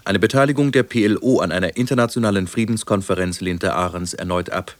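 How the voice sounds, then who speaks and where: A man with a standard German accent from Germany reads a formal radio news bulletin in a measured, matter-of-fact way. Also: low-pitched. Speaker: radio newsreader.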